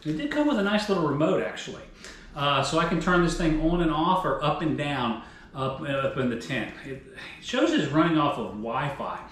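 Middle-aged guy showing off gear in his garage, kinda highish voice, echoey room. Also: sing-song, normal speed, fairly bright tone, slight background noise. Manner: chatty, hands-on explaining. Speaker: outdoor gear vlogger. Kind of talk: casual product demo. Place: home garage.

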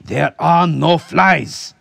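A man's voice: sits low, very animated, unhurried, dark tone, dry recording, exaggerated voice. A man voicing a proud, stern military officer with a formal, imperious manner in an audiobook story. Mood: furious. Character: stern, proud male officer with a cane, commanding.

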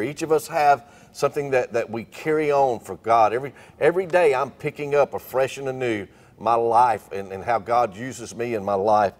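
A man's voice: medium pitch, darkish tone. A middle-aged man leading a devotional panel as pastor, earnest and encouraging, speaking into a handheld mic outdoors. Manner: earnest, encouraging, repeats words. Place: outdoor stage by a wooden fence.

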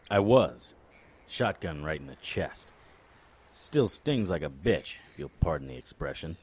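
An actor playing a cocky, unflappable supernatural private investigator in an audio drama, full of wry, flippant banter. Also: male voice, rumbling low, big pitch swings, a little slow, breathy edge, full character voice. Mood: wry, dry.

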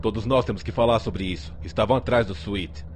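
A man's voice: fairly low pitch, brisk, background noise, natural voice. A man with a Brazilian accent playing a grumbling, foul-mouthed gangster in a game fan dub.

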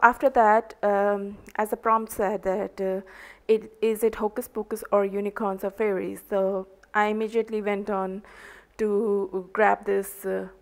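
A woman with an Indian English accent, a native Hindi speaker, narrating an art journaling tutorial in a halting way, full of ums and uhs. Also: mid-range voice, level tone. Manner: slow, hesitant, many ums and uhs. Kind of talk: crafting tutorial voice-over.

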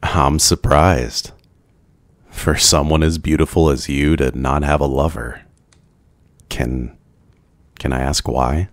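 A man voicing a brooding, lonely ancient vampire in an ASMR roleplay, gentle, courteous and soft-spoken, with hesitant pauses. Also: a bass voice, flat delivery, dry recording. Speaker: roleplay voice performer. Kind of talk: ASMR boyfriend roleplay, scripted.

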